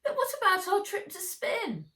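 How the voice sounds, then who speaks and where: A woman voicing an excitable, plaintive young boy in a children's storybook. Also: very high voice, normal speed, voice pushed up, full character voice. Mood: worried. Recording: roomy echo, distant from mic.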